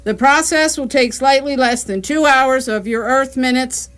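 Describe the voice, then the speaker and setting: A woman's voice: high voice, moderate pace, background noise, lightly acted. A woman playing an officious, condescending, impatient alien bureaucrat in a comic science fiction radio play. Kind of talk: radio comedy drama acting.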